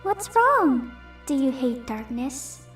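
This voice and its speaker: A woman in an amateur fandub voicing a smug, taunting and playful dark creature with a teasing, mock-sweet manner. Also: high-pitched, very animated, takes it slowly, full character voice. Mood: gleeful taunting. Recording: roomy home recording, distant mic, music behind.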